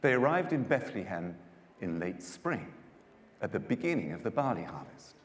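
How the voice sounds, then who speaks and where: A man narrating scripture as a dramatised story, with a measured and expressive delivery. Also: lowish voice, a little hiss or hum. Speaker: narrator, Bible reader. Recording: echoey hall, long reverb, music.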